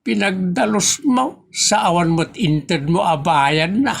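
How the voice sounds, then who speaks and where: A man's voice: sits high, animated, slow, gravelly, full character voice. A man with an Ilocano accent playing a grumbling, exasperated village father in a comedy audio drama, complaining in exclamations.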